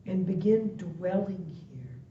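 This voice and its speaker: A woman meditation instructor leading a guided practice in soothing, deliberate phrases with long pauses between them. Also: lively intonation. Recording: muffled, echoey, distant web-call audio, faint rumble.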